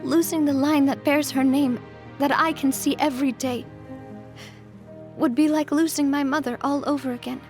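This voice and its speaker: High-voiced, weary, vulnerable young woman. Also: steady tone, faint music behind. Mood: relieved, emotional. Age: young adult. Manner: hesitant, halting, soft-spoken. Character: weary, vulnerable young woman leader, sincere and self-doubting. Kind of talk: English video game dub acting.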